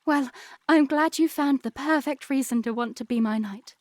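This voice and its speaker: A man's voice: extremely high pitch, moderate pace, darkish tone, no room sound, clean studio sound, voice pushed up. A man voicing a teasing young princess in a fan audio drama. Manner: teasing, wry.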